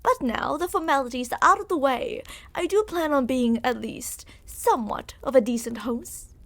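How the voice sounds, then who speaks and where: A woman's voice: sits high, animated, normal speed, fairly bright tone. A woman playing a smug, menacing yandere royal captor in an ASMR roleplay, speaking in a theatrical, condescending, mock-affectionate way. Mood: threatening, condescending.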